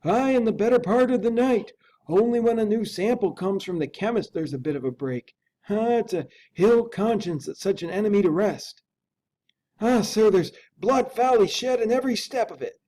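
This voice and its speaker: An anxious Victorian butler, acted out by a man reading aloud, pitched high for a man. He is earnest and deferential, with urgent, plain-spoken phrasing from a classic novel reading. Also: lively intonation, normal speed, warm tone. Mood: hushed dread. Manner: urgent, folksy, dramatic servant's speech.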